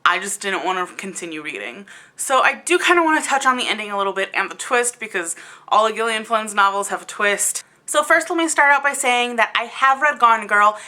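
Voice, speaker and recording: Bright-voiced woman giving a blunt review. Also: medium pitch, animated. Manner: exasperated, blunt, conversational with emphatic drawn-out words. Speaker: book reviewer hosting a video channel. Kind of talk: casual opinionated review to camera.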